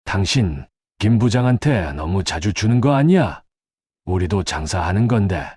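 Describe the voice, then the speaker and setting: A woman voicing a gentle middle-aged husband who speaks softly and cautiously in an audio drama. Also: very deep voice, expressive, normal speed, husky, no room sound, clean studio sound, pitched below the performer's own voice, full character voice. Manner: soft, cautious.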